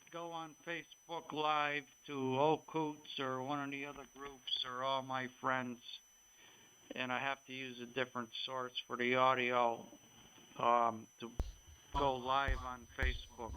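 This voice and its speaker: A man's voice: mid-range voice, level tone, measured pace. A man talking casually as an amateur radio operator over a radio transmission. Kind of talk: casual on-air ham radio chat. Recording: noisy radio transmission with hum.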